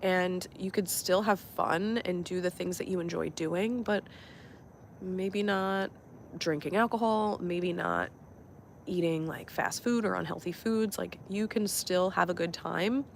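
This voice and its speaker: A young woman with a cold who sounds a bit nasal, chatting in a relaxed, reflective way on a motivational live stream while walking outdoors. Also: lowish voice, unhurried, vocal fry, a little hiss or hum. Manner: relaxed reflective chat with fillers. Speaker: vlogger and life coach. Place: outdoors on a walk.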